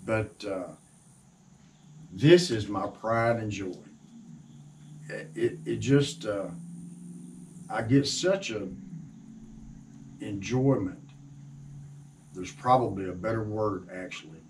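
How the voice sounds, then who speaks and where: Man, 60s, lowish voice. Halting, folksy delivery for a casual how-to video, miked from a few meters off. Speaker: woodworker and sawmill owner hosting. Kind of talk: casual instructional chat to camera. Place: open-sided wooden sawmill shed outdoors. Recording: distant mic with faint outdoor noise.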